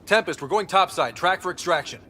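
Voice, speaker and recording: A man's voice: sits high, expressive, brisk, bright, forceful tone, slight background noise. A man playing a determined, earnest young space explorer and leader in a video game, decisive and sincere. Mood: urgent command. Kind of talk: video game cutscene acting.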